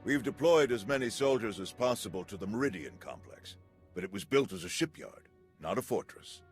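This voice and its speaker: An actor playing a commanding military general in a video game, authoritative and formal. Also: male voice, lowish voice, lively intonation, a little fast, faint music behind. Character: commanding, hospitable army general.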